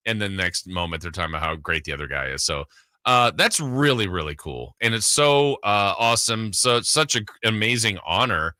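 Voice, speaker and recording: A man's voice: on the low side, lively intonation, a little fast, sharp tone, no echo, clean studio sound. A man hosting a sports radio show in casual, chatty and enthusiastic talk.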